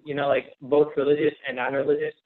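A man's voice: a little high, completely flat delivery, quick pace. A young man, a student, giving a thoughtful answer in class with many hedges like "you know.". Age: young adult. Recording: tinny phone-like call audio.

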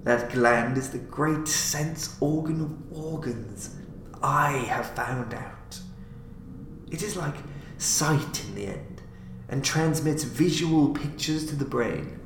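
Gloating mad scientist, acted by a male reader, emphatic lecturing, swoops up in pitch, noisy roomy audio. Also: slow. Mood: fervent lecturing. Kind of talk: audiobook character acting. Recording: roomy, clearly noisy home recording.

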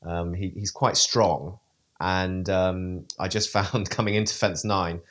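A man's voice: sits low, steady tone, slow pace, fairly bright tone. A man answering interview questions, hesitant, with many fillers and restarts. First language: English. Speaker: event rider, interview guest. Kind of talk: podcast interview.

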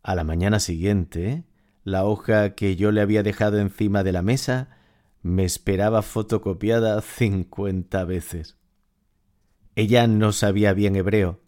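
A man's voice: on the low side, darkish tone, natural voice. A man playing a smitten, wistful narrator reading scripted literary audio fiction. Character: smitten, wistful male narrator, devoted to his beloved.

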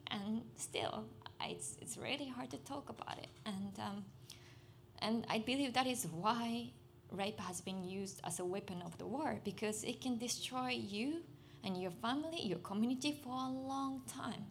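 A young Japanese woman speaks English carefully and earnestly. She pauses often to find her words, and her delivery stays soft and halting. Faint noise sits under her voice. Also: on the high side. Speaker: speaker at a literary festival. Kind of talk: personal testimony on stage.

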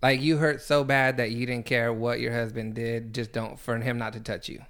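A man's voice: mid-range voice, little pitch movement, normal speed, fairly bright tone, smooth. Curious man with a mid-range voice. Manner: curious, asks follow-up questions. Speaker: podcast host. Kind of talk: casual interview chat.

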